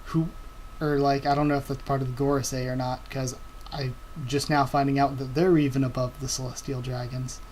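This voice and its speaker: A young man reacting live to a new manga chapter, excitable and thinking aloud with stammering restarts. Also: mid-range voice, a little fast, a little hiss or hum, low-quality recording.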